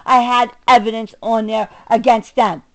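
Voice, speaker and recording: A middle-aged woman with a somewhat high-pitched voice rants angrily to her camera. She is combative and profane, hitting her words hard. Her delivery is personal and unscripted. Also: steady tone, moderate pace. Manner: combative, profane, emphatic, swinging between muttering and raised voice. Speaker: self-recording vlogger. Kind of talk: angry personal rant to camera.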